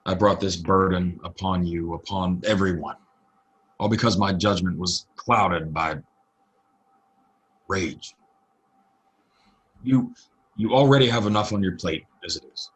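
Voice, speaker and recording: An actor playing a grave, remorseful drifter wanted by the law in a Western audio play, speaking in measured phrases. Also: male voice, low-pitched, husky. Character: remorseful, vengeful wanted drifter of the Old West. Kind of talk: Western audio drama acting.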